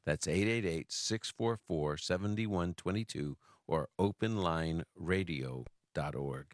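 A man's voice: deep voice, unhurried, dry recording, studio quality. An American man hosting a radio call-in show in a chatty way, often repeating and restarting his phrases. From Brooklyn, New York, United States. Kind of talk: casual talk radio, answering callers.

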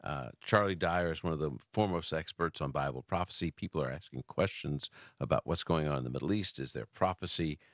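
A man's voice: very deep voice, a little fast, few pauses, no echo, studio quality. An American man hosting a radio call-in show in a chatty way, often repeating and restarting his phrases. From Brooklyn, New York, United States. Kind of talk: casual talk radio, answering callers.

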